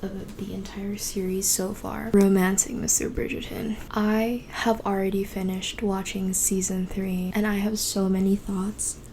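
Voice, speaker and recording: A tired young woman from the Philippines, a Cebuano speaker at heart, chats her way through a late-night bookshelf tour. Her voice stays level and even as she rambles and gushes, often slipping into a hushed, breathy murmur. There is a faint hiss behind her. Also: mid-range voice, unhurried, few pauses, rough recording. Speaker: book vlogger.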